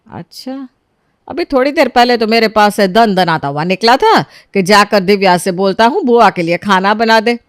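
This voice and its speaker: A woman voicing a suspicious, probing mother-in-law in an animated story. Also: medium pitch, moderate pace, clean tone, dry recording, clean studio sound, lightly acted. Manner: probing, scolding.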